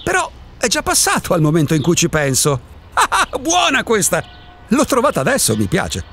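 Radio drama male actor as an eccentric recluse: provocative, impatient philosopher, husky voice, very animated delivery. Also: high voice, moderate pace, faint music behind, full character voice. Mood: impatient, lecturing, then amused. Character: eccentric solitary man insisting he does not exist. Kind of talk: Italian radio drama acting.

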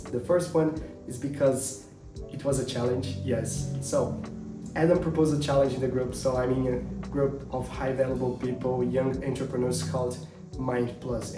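Young Portuguese-accented man vlogging. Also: mid-range voice. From Brazil. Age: 20s. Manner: earnest, halting, searching for words. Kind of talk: casual vlog to camera. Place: small home office. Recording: roomy, a bit distant, music behind.

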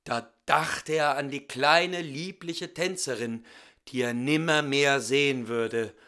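Slow, bright-voiced male storyteller. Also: mid-range voice. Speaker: storyteller reading a fairy tale. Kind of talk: expressive, dramatic fairy-tale narration.

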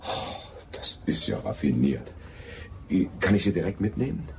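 Very deep-voiced false friend. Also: male voice, sing-song, moderate pace, vocal fry, noisy background, heavily acted. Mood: jovial. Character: smooth-talking, condescending false friend, a gentleman. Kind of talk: German radio drama acting.

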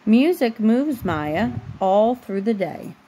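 A mother reads a picture book aloud to her young child. The woman takes her time in a measured storybook delivery. It is a homemade bedroom recording on a phone, with some light noise behind her. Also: fairly high pitch, big pitch swings, mellow. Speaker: mother reading a bedtime story. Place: bedroom at home. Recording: noisy home phone recording.

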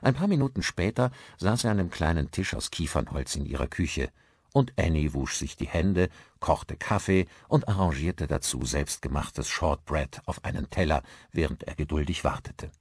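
Low-voiced, animated man narrating an audiobook. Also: normal speed, breathy edge, no room sound, studio quality. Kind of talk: scripted narration of a mystery novel.

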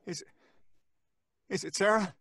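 An actor playing an anxious, agitated husband in an old-time radio drama, urgent and halting. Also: male voice, high voice, a bit bright, husky, no echo, exaggerated voice. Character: anxious, agitated husband searching for his missing wife. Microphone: studio microphone in a padded booth.

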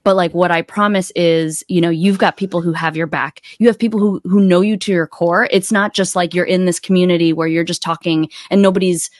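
A woman coach chats as a podcast guest in a fairly low voice. She thinks aloud, starting and restarting in a conversational way, recorded close and dry in a small room. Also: fairly even intonation, a little fast, few pauses, dry recording. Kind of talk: casual conversational interview. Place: small indoor room, video call. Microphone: close desk microphone.

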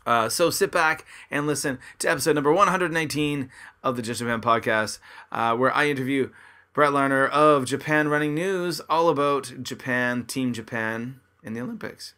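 A man hosting a podcast with a bright, forceful tone and an upbeat, chatty manner. He keeps calling out to his listeners and pitches his show with plain enthusiasm. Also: normal speed. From Canada. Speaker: podcast host. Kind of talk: casual podcast intro and interview. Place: his home in Kobe, Japan.

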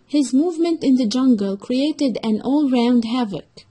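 High-pitched husky woman storyteller. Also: lively intonation, measured pace, mellow, soft background music. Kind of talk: scripted children's story narration.

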